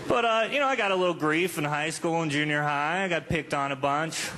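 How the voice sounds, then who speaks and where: A man's voice: a little high, brisk. A man in his thirties doing a conversational, crude, self-deprecating stand-up comedy routine. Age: 30s. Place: live comedy show before an audience. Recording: live club recording, noisy audience. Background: audience noise and crowd ambience.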